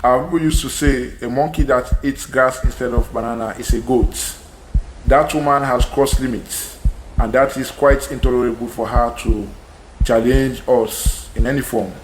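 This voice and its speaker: A man's voice: mid-range voice, fairly even intonation, normal speed, soft background music, natural voice. A man with a Sierra Leonean accent playing an indignant, sententious traditional noble in an audio drama. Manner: emphatic, sententious. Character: indignant, proverb-quoting traditional male noble. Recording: echoey room with faint noise.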